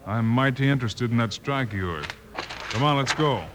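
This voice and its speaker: A man playing a steady, commanding western marshal in an old radio drama, clipped and plain-spoken. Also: mid-range voice, moderate pace, close to an everyday voice. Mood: stern. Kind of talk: 1950s radio western acting. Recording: noisy old radio recording.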